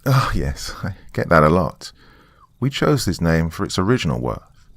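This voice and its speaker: A man voicing a gentle, tired mother who explains things in an earnest, apologetic way in a narrated story. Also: low voice, sing-song, normal speed, creaky, no echo, exaggerated voice.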